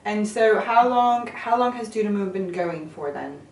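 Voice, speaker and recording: A woman's voice: mid-range voice, animated, moderate pace. Woman host, friendly interviewer manner, distant mic, roomy echo. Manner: upbeat and encouraging, with frequent short affirmations. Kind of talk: casual interview. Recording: echoey room, mic at a distance, faint noise.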